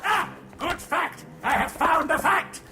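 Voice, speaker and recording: A man playing an excitable, literal-minded alien in a sci-fi audio drama, declaiming in drawn-out words. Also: very high voice, background noise. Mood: excited, triumphant. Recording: echoing, with music behind.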